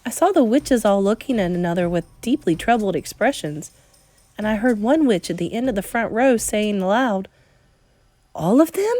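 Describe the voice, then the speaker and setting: A woman reading a children's story aloud as an expressive narrator. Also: mid-range voice, normal speed, no room sound, soft background music. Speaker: storybook reader.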